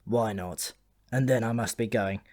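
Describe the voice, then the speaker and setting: A man's voice: on the low side, unhurried, a little mellow, no echo, studio quality, lightly acted. A man voicing an ancient, tipsy sulphur dwarf in an audiobook, grumbling and rambling in a gloomy, grudgingly friendly manner. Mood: confiding, warning.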